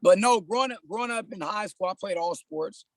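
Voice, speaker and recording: Laid-back man with a gravelly voice. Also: high voice, fairly quick. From California, US. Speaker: podcast guest, personal trainer. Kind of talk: casual conversational interview.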